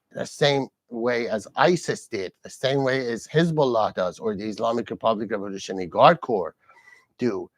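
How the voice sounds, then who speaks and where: A man's voice: on the low side, no echo. A man born and raised in Iran, hosting a solo live commentary in English, earnest and repeating himself, with many fillers and restarts. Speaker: host.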